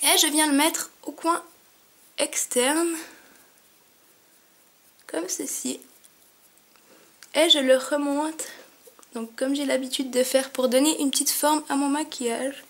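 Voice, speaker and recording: A woman's voice: high voice, fairly even intonation, takes it slowly, pauses often, a bit bright. A young woman with a cold giving a chatty makeup tutorial at home, with many fillers. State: has a cold, sniffling. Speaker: beauty vlogger. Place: at home, in front of a mirror.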